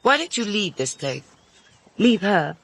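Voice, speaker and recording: A woman acting in a radio drama as a bitter servant, blunt, pointed and quietly menacing. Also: medium pitch, very animated, a little slow, breathy edge, no room sound, exaggerated voice. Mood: blunt urging.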